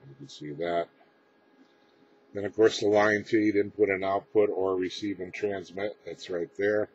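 A man giving a casual, unscripted equipment demonstration as presenter, explaining in a halting, matter-of-fact way, recorded indoors on a camera microphone. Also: on the low side, little pitch movement. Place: indoors, small room with radio gear. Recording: dull lo-fi camera audio, clear background noise.

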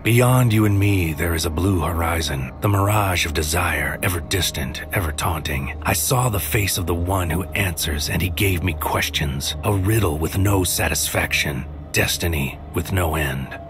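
An actor playing a brooding, tormented man in a dark poetic audio drama, speaking with grave, portentous delivery. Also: sits low, normal speed, few pauses, over music. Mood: bleak, brooding. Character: brooding tormented man Maru, weighing judgment of mankind.

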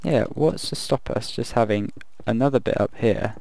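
A man casually commenting while he builds in a video game, thinking aloud and rambling, his voice croaky from the late hour. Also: medium pitch, moderate pace, a little mellow, no echo. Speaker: gaming video creator.

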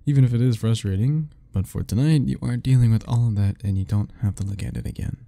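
A man's voice: fairly low pitch, quick pace, gravelly, dark tone. A young man doing an ASMR roleplay as a teasing, easygoing roommate talking to the listener, laughing often.

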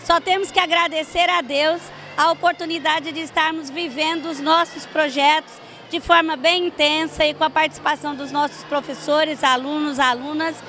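A woman's voice: high voice, fairly even intonation, normal speed, bright, forceful tone, a little hiss or hum. An older woman interviewed at a school gym on a handheld mic, explaining earnestly with many fillers. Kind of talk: on-camera interview. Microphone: handheld reporter mic.

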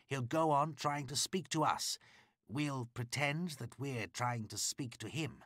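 A man's voice: mid-range voice, unhurried, a bit bright, no room sound, studio quality, voice pushed up. A man reading a storybook, voicing a confident male driver eagerly explaining a plan in a raised voice. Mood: eager scheming.

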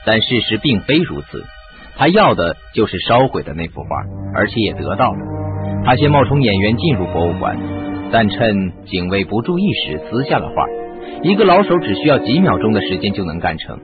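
A man's voice: lowish voice, sing-song, a little fast, phone-quality sound. A man acting in a radio drama as a shrewd, confident sleuth, explaining things in an assured way. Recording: band-limited audio with music.

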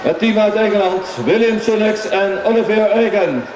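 A man's voice: sits high, moderate pace, a little mellow. A man announcing enthusiastically to a crowd over a public-address system at an outdoor sailing event. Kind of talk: live public-address race commentary and prize-giving. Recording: very echoey, distant PA speaker, noisy outdoors.

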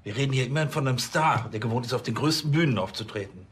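An actor playing a flustered, self-important middle-aged police chief who stammers fussily in a TV crime comedy. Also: male voice, mid-range voice, rapid-fire, a bit bright, breathy edge. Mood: hesitant. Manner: stammering, fussy, pompous.

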